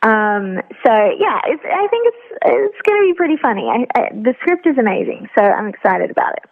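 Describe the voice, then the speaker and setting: A woman being interviewed, chatting informally and pausing to think as she answers. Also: on the high side, sing-song. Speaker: interview guest, actor and writer. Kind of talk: casual chat. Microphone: telephone. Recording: tinny, narrow phone line.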